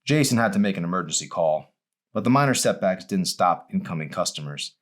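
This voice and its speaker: Male author, audiobook narration, deep voice, animated intonation, expressive. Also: a little fast. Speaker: author reading own novel.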